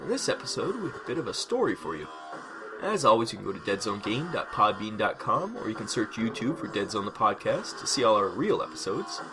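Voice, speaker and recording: A man delivers scripted narration as a podcast host. His voice is fairly low. Music plays clearly underneath. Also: sing-song, a little fast.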